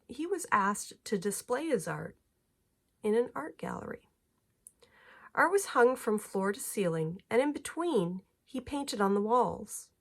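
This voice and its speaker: Animated woman reading a children's storybook. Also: mid-range voice. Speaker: teacher reading a picture book aloud. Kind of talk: expressive children's storybook read-aloud.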